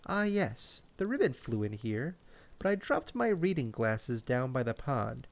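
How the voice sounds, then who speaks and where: A man voicing a wise, gentle and slightly fussy female owl in a children's bedtime story. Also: mid-range voice, sing-song, creaky. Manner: gentle, deliberate.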